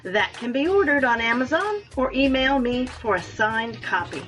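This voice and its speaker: A woman's voice: a little high, lively intonation, moderate pace, a bit bright, soft background music. A woman hosting a radio show, chatting and reading scripted announcements. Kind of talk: friendly interview sign-off and scripted sponsor reads.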